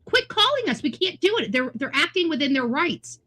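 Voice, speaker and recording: High-voiced, emphatic American woman. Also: very animated, fairly quick, sharp tone. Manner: emphatic, blunt, lecturing. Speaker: online true-crime commentator. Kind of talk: unscripted opinionated monologue commentary.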